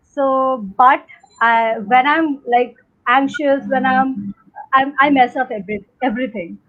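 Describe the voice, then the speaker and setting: This is a young woman from India with a high voice, whose first language is Hindi, answering questions on a panel. She rambles and hesitates, with many fillers and restarts. Her voice comes through a thin, phone-like video call line. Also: a little slow. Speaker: panel guest. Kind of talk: unscripted conversational answers.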